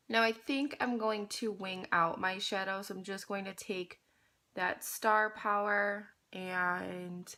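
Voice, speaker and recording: A young woman talks her viewers through a makeup look in easy, chatty tones, calling them "you guys." She is fighting a cold, so her voice comes out slightly nasal and congested. Even so, her tone stays bright and crisp at a middling pitch. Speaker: beauty vlogger. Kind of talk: casual makeup tutorial chat.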